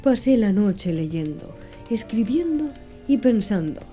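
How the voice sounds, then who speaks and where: A woman narrating an ASMR-style audiobook in a soft, slow and measured reading. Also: mid-range voice, sing-song. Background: faint background music.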